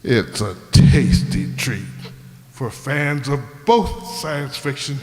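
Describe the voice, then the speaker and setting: A man hosting a radio players' show, giving a scripted introduction in a deliberate, showman-like way. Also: medium pitch, expressive, darkish tone, soft background music. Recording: echoey hall with long reverb.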